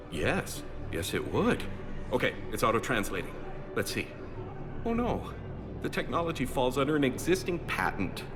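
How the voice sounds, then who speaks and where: A man's voice: medium pitch, lightly acted. A man playing a rambling, overeager fan. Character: eager awkward human fan man, rambling. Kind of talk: video game cutscene voice acting.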